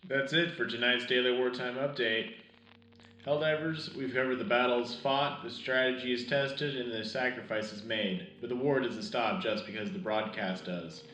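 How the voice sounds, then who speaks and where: A man's voice: medium pitch, steady tone, normal speed, pushed and bright, a little hiss or hum, close to an everyday voice. Man as echoey patriotic wartime radio host. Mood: rousing, earnest. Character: earnest patriotic wartime radio news host of sci-fi military. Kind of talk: scripted wartime radio broadcast.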